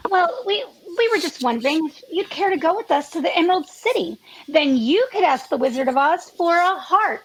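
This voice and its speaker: A woman plays a tender-hearted young girl in a comic radio play. Her voice is high and girlish, with an earnest, innocent, breathlessly gushing manner. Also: animated, moderate pace, hardly any pauses, rough recording. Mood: encouraging. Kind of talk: parody radio play acting.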